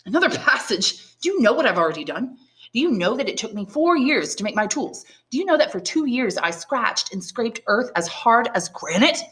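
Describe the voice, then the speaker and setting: A woman's voice: on the high side, big pitch swings, moderate pace, fairly bright tone, pitched above the performer's own voice. A woman voicing an elderly, learned imprisoned priest in an audiobook, speaking emphatically and articulately with bitterness and resignation. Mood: exasperated, despairing. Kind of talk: dramatised audiobook reading of a classic novel.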